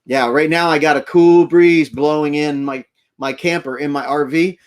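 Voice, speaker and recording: A man's voice: fairly high pitch, fairly even intonation, moderate pace. A man from Florida hosting a casual, rambling live stream from inside his RV camper, chatting with viewers and thanking them. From Florida, United States. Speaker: livestream host. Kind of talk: casual rambling livestream chat.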